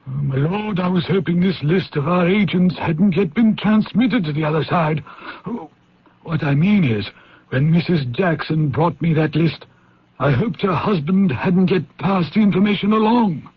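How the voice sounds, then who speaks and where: An actor playing a flustered, dismayed intelligence official who mutters haltingly in an old radio drama. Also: male voice, on the high side, husky. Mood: shaken, dismayed. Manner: halting, muttering with sighs and exclamations. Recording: dull, noisy old radio recording.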